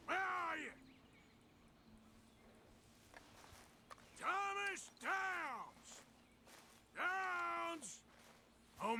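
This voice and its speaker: Slow, menacing outlaw debt collector. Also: male voice, very high voice, vocal fry, sharp tone, full character voice. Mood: calling out, searching. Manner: threatening, slow drawling delivery. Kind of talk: Western video game acting. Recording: game audio under noisy ambience.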